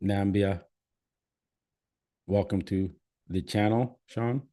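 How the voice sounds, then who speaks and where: A man's voice: fairly low pitch, fairly even intonation, takes it slowly, dark tone. A man with a Canadian accent hosting a live stream, chatting casually and reading out where viewers are from. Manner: chatty, reads out viewer comments. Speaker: livestream host.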